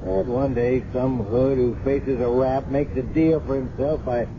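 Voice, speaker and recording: A man's voice: mid-range voice, fairly even intonation, a little slow, close to an everyday voice. An actor playing a world-weary, skeptical senior policeman, blunt and dismissive, in a 1970s radio drama. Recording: muffled, noisy 1970s radio recording.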